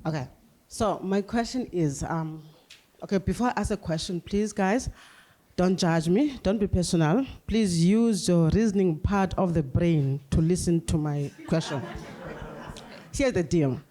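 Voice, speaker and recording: A woman's voice: on the low side, unhurried, warm tone. A woman with a South African accent putting an unscripted question from the audience, in a hesitant, roundabout way. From Durban, South Africa. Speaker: audience member asking a question.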